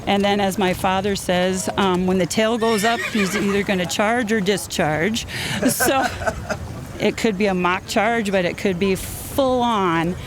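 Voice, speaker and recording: A woman in her fifties, a roundup rider, telling stories in a lively way and laughing easily during a casual outdoor interview. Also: mid-range voice, unhurried, a crowd in the background. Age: 50s. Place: outdoors at a busy event. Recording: noisy outdoor field recording.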